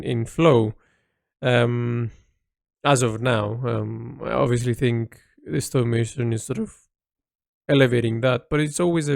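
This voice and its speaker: A man speaking a reflective audio diary as an artist, halting and introspective as he searches for words. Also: medium pitch, gravelly, a little mellow, dry recording, clean studio sound. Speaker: artist recording an audio log.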